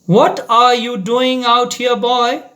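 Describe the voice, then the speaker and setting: A man's voice: very high voice, level tone, slow, pushed and bright, pitched above the performer's own voice, full character voice. A man voicing a stern, urgent schoolteacher in a storybook reading. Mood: sharp. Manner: urgent, questioning, commanding. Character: stern schoolteacher, turning from sharp to frightened.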